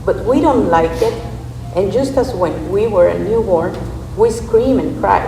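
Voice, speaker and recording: A woman's voice: mid-range voice, measured pace, gravelly, mellow, soft background music. A woman earnestly reciting a script as a church readers theater performer. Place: large reverberant church space. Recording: echoey hall, distant mic, background noise.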